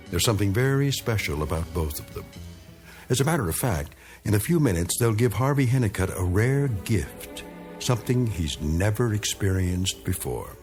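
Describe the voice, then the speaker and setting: A gravelly male narrator of eerie radio tales, grave and wry. His measured, ominous delivery swings expressively over clear background music. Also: lowish voice. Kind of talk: scripted suspense radio narration. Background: music under the voice.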